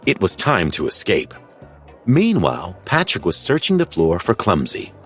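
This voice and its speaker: A man narrating a children's storybook in an animated, expressive way. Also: low voice, moderate pace, husky, faint music behind. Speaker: audiobook narrator.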